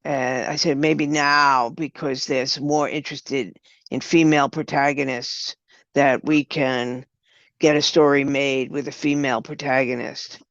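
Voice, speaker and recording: An older woman with a low, smooth voice, speaking conversationally as an interview guest about her work. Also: fairly even intonation, measured pace, no echo, lo-fi sound. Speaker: guest, writer and director.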